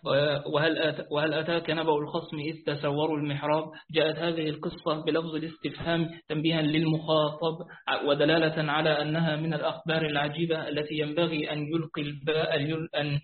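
A man's voice: a little high, completely flat delivery, fast talker. A man reading a classical commentary aloud carefully, with restarts, over a phone on a weak network from a rooftop. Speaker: student reading the text aloud. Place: rooftop outdoors. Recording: tinny phone-like line.

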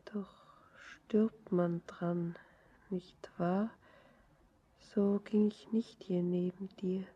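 An actress playing a despairing, devoted young bride in a poetic radio drama, speaking in halting whispers. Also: female voice, on the low side, fairly even intonation, dark tone, heavily acted. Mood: grief-stricken. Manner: breathy, halting, whispered verse delivery. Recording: dull lo-fi radio recording.

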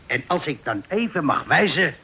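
A man's voice: on the high side, big pitch swings, moderate pace, bright, forceful tone, breathy edge, exaggerated voice. A man acting a talkative, folksy Dutch sea captain of forty-five in an old radio play. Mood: wry, folksy. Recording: old radio recording with hiss.

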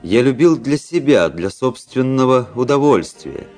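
An actor playing a cold, disillusioned young Russian officer in a radio drama, with a measured, introspective and ironic delivery. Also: male voice, mid-range voice, animated, darkish tone, natural voice. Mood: brooding, bitter. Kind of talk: literary radio drama monologue and dialogue. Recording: radio play with music behind. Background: music.

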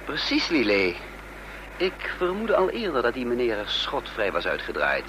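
Gedreven vluchteling, levendige mannenstem. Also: male voice, medium pitch, wildly swooping pitch, moderate pace. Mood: intent. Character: resolute male fugitive impostor leading his companions. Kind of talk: radio drama acting. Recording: noisy old radio play recording.

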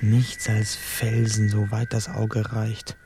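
A man's voice: lowish voice, monotone, slow, noisy background. A man speaking scripted lines in a fairy-tale radio play.

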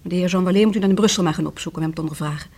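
A woman's voice: lowish voice, very fast, dark tone, dry recording. An actress in a Dutch radio play as a proud, defiant woman being questioned by police, speaking formally with a sardonic edge. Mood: defiant, irritated.

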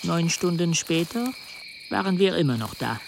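Expedition storyteller in radio drama, slightly high voice, matter of fact, loud rain and thunder. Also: male voice, expressive, moderate pace, gravelly, background noise. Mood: measured. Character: male expedition member recounting a perilous river journey. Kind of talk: scripted narration in a German radio play.

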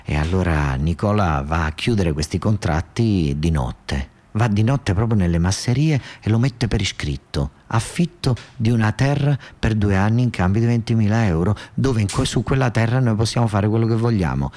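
A man's voice: fairly low pitch, lively intonation, normal speed, few pauses, darkish tone. A man with a southern Italian accent performing a colloquial, satirical storytelling monologue, earthy and sardonic, with emphatic repetition and asides to his listeners.

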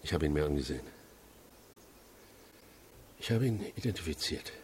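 An actor playing a sorrowful family confidant who speaks gravely and haltingly as a bearer of bad news in a radio crime drama. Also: male voice, rumbling low, expressive, a little mellow, a little hiss or hum.

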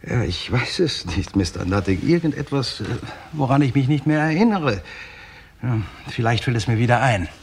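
An actor playing a wry man who tells his own crime story in a 1960s German radio drama, unhurried. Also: mid-range voice, expressive, a little hiss or hum, lightly acted. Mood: earnest, recalling. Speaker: narrator and character.

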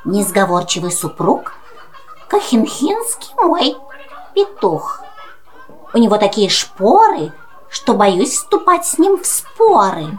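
Fussy clucking hen, swooping pitch. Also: female voice, big pitch swings, takes it slowly, slight background noise, rough recording. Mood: apologetic. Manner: clucking, gossipy. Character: fussy, clucking mother hen, apologetic and flustered. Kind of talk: children's audio play in rhymed verse.

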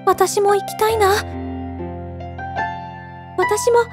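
A woman voicing a gentle, meek young girl in a storybook tale, timid and polite. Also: very high voice, fairly even intonation, drawn-out words, heavily acted. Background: music.

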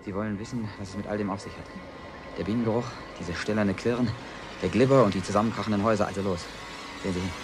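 An actor as a driven, fast-talking sardonic man. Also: on the low side, over music, lightly acted. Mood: grim, commanding. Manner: commanding, sarcastic, urgent. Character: driven, frightened, bitterly sardonic man in a science-fiction catastrophe. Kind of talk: German radio play acting, dramatic dialogue.

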